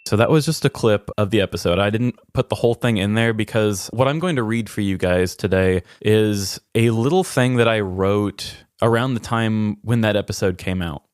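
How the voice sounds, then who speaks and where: A man with a fairly low voice talks personally and conversationally as a podcast co-host. He sounds earnest and feels his way toward the right words. The sound is close and dry. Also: moderate pace, few pauses, clean tone, no room sound.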